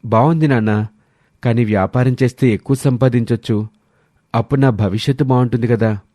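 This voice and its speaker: Man playing a proud, ambitious son, mellow voice. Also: medium pitch, brisk, no room sound, clean studio sound, lightly acted. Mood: persuading. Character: proud, ambitious grown son, self-serving and cold. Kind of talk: scripted story dialogue.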